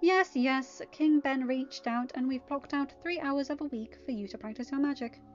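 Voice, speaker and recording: A woman reading as a high, officious court lady. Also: moderate pace, darkish tone, lo-fi sound, soft background music, natural voice. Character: condescending, officious young woman of a royal court.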